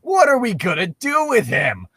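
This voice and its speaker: Man putting on a high, earnest fantasy hero Link. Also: huge pitch swings, moderate pace, sharp tone, husky, no echo, studio quality, heavily acted. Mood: nervous, earnest. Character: Link, devoted but fearful husband, fantasy hero.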